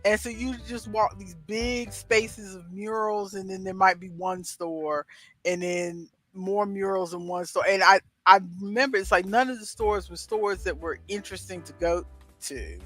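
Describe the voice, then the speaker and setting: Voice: medium pitch, normal speed, a bit bright, faint music behind. A middle-aged livestream co-host chatting casually and telling personal anecdotes with emphatic wording.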